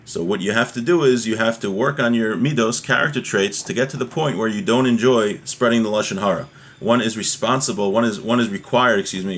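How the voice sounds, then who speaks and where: Low-voiced man teaching a religious lesson. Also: a bit bright. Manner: explanatory, with rhetorical questions. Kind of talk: informal religious lesson, reading and explaining.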